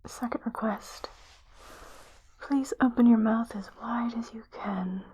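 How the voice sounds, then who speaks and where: Woman acting a whispering, breathy sci-fi doctor. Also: a little high, steady tone, exaggerated voice, poor audio. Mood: calm, clinical. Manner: slow, measured, softly clinical. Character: calm, clinical female doctor examining crew on alien planet. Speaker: ASMR roleplayer. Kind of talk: whispered ASMR medical roleplay.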